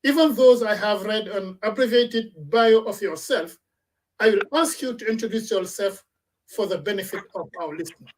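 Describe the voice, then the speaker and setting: A woman hosting a radio talk program, giving formal introductions and moderating a panel in a measured way with frequent restarts. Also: medium pitch, a little mellow, far from the mic, low-quality recording. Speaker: radio talk show host. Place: in Toronto.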